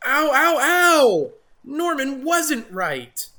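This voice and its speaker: A woman's voice: high voice, big pitch swings, very slow, sharp tone, voice pushed up, heavily acted. A woman voicing a grumpy, sulky male monkey in a children's storybook, grumbling in a whiny, emphatic way. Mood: miserable, complaining.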